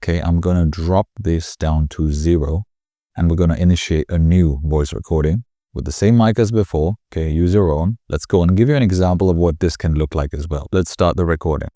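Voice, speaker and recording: Man, low voice, dark tone, slightly nasal, chatty rambling tutorial host. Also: a little fast, no room sound, studio quality. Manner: chatty, rambling, self-correcting. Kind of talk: casual screen-recording tutorial.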